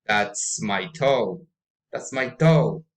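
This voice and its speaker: Man as storybook otter: hungry, worried, protesting, slow halting delivery with raised voice. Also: medium pitch, lively intonation.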